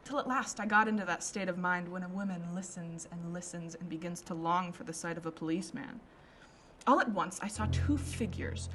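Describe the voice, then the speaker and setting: A woman's voice: mid-range voice, natural voice, poor audio. A woman playing a well-known Victorian lady about town, recounting events dramatically in a radio drama. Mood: conversational, intrigued. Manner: dramatic storytelling, emphatic.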